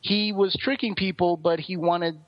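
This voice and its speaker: A man's voice: high voice, steady tone, moderate pace, no room sound, highs cut off. A man co-hosting a podcast, talking through a review in a casual, rambling, explanatory way. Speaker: podcast co-host.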